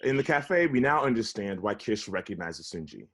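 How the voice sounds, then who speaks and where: A man's voice: on the low side, big pitch swings, moderate pace, a bit bright. A man reading stage directions as scripted narration in a table read. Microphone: video call.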